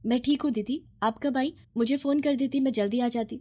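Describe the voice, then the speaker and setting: A woman's voice: high voice, steady tone, a little fast, mellow, highs cut off, voice pushed up. A woman voicing a polite, meek young bride in a storybook tale. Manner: respectful, meek. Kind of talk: acted storybook dialogue.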